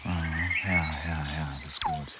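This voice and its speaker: A man playing a middle-aged police boss in a radio crime play, halting and apologetic yet firm. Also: extremely low pitch, huge pitch swings, loud music behind the voice, full character voice. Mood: uneasy.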